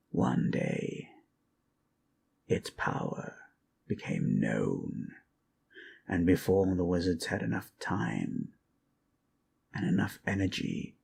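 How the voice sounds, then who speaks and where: An ancient undead lich recounts dark lore in a very low, heavily creaking voice. He speaks extremely slowly and theatrically, slipping into breathy whispers for an ASMR roleplay, with ominous pauses. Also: a little hiss or hum, full character voice. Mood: grave, solemn. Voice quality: gravelly, breathy, often dropping to a whisper. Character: ancient powerful undead lich, brooding loremaster of a fantasy world.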